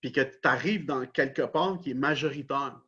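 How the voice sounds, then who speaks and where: Husky Québécois man on a dull recording. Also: medium pitch, moderate pace, sharp tone. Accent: Québécois French. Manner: explanatory, repeats set phrases. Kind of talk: casual discussion.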